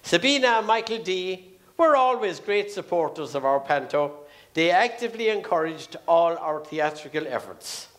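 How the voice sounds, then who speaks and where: A man with an Irish accent making formal, measured announcements as master of ceremonies on a theatre stage. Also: a little high, expressive, a bit bright. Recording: live theatre stage with audience. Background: audience noise.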